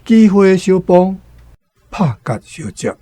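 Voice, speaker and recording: A male actor with a Taiwanese accent playing a philosophical storyteller musing deliberately in a radio drama monologue. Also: sits high, very animated, dark tone, heavily acted. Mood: reflective.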